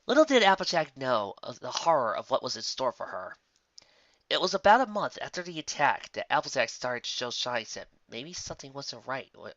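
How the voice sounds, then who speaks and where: A storyteller reads scripted fiction with animated intonation and a bright, forceful edge. The delivery is dramatic. The recording is dry and close, a touch dull. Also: mid-range voice, no room sound. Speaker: narrator.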